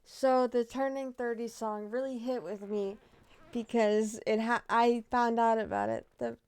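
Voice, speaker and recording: A woman in her thirties with a fairly high voice, talking in an unhurried, rambling way. She chats casually to her stream between asides. At times she softens to a gentle murmur. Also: clear, resonant tone. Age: 30s. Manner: rambling and chatty, with frequent laughs and asides; drops to a soft murmur when talking to her pet. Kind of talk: casual stream chat reacting to a true crime case.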